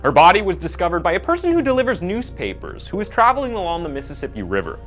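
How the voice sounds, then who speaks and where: A man's voice: fairly high pitch, fairly bright tone. A man reading a scripted true crime documentary narration as its host. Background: background music.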